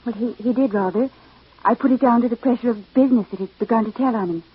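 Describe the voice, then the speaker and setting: An actress playing a reserved, genteel new widow in old radio drama, speaking in a formal, guarded way. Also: female voice, fairly high pitch, quick pace, breathy edge, no echo. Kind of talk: 1940s-50s radio acting. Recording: tinny, phone-like old radio recording.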